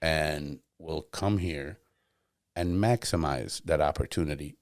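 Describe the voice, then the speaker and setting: A man's voice: extremely low pitch, a little slow, no echo, clean studio sound. A man hosting a podcast, talking thoughtfully in casual conversation and often searching for words. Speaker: podcast host.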